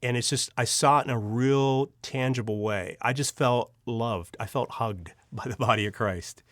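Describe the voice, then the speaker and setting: A man's voice: medium pitch, normal speed, a bit bright, dry recording, studio quality. A man speaking as an interview guest, sharing personal testimony casually and earnestly, with emphatic repetition and frequent restarted sentences.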